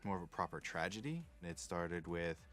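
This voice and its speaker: A man's voice: low-pitched, animated, a little fast, a bit bright, soft background music. A man in a behind-the-scenes documentary interview, explaining creative work informally as a game developer.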